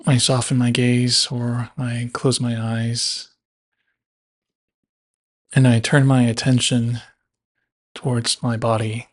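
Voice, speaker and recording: A man guiding a body scan meditation in a measured way, leaving long pauses between phrases. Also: mid-range voice, flat delivery. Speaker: meditation guide.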